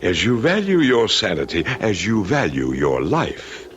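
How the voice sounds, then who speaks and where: An actor playing a grave, courtly lord of a cursed manor in old radio drama style, speaking with portentous deliberation. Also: male voice, on the low side, wildly swooping pitch, measured pace, dull audio, noisy background. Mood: stern warning.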